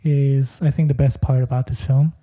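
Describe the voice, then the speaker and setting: A man's voice: medium pitch, little pitch movement, moderate pace, warm tone. A man co-hosting a podcast, reviewing a movie in casual chat, rambling with lots of fillers and restarts. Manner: rambling, heavy use of "like" and restarts. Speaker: podcast co-host.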